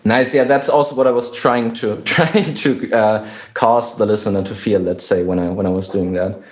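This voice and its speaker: A man's voice: on the low side, fairly even intonation, normal speed. A man whose native language is German, a musician guest talking hesitantly with many restarts in casual conversation. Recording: roomy echo.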